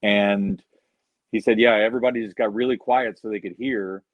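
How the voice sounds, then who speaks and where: A man's voice: fairly low pitch, steady tone, moderate pace, no room sound. A man guest on a video-call interview, telling rambling anecdotes full of "you know". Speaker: guest musician. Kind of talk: relaxed storytelling interview. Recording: slightly dull lo-fi sound, dry.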